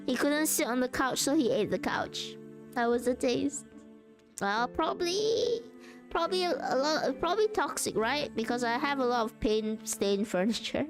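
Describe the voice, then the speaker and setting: A woman livestreaming relaxed crafting chat, rambling, losing her thread and laughing often. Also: high voice, moderate pace, faint music behind. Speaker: streamer.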